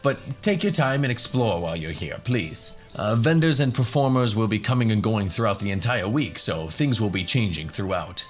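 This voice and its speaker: A man's voice: on the low side, lively intonation, normal speed, hardly any pauses, faint music behind, close to an everyday voice. A man playing a courteous professor in a fantasy radio story, polite, slightly hesitant and explanatory. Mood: earnest, explanatory. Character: courteous male professor hosting a band of adventurers.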